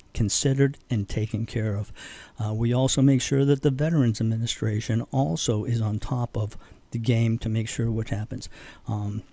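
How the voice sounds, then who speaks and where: A man's voice: mid-range voice, dark tone, no room sound. An older man from Boston, a veterans organization leader, speaking earnestly as a guest in a radio interview, with fillers and restarts.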